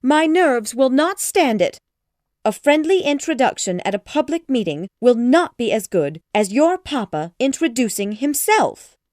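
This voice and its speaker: A woman voicing a fretful, easily upset middle-aged mother who talks in shrill, excitable exclamations. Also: a little slow, no room sound, studio quality. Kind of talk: audiobook character voice.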